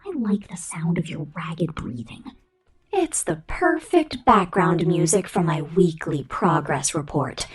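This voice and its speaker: A woman acts out a haughty female robot executive in an ASMR roleplay, breathy and whispery over faint music. She talks down to humans with slow, deliberate menace, vain and controlling in every phrase. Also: fairly low pitch, expressive, full character voice. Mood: coldly gloating. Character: domineering, vain female robot corporate boss with contempt for humans. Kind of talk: ASMR roleplay monologue, scripted.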